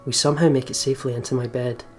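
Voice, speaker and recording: A man's voice: medium pitch, steady tone, racing, darkish tone. A man hosting a let's play, casually commenting and reading story text aloud. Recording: game music behind the voice. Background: visual novel game music.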